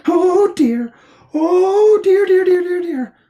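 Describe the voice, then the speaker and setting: A woman's voice: extremely high pitch, expressive, takes it slowly, mellow, voice pushed up, full character voice. A woman voicing a small, timid male piglet who is anxious, breathless and prone to stammering. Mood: fretful.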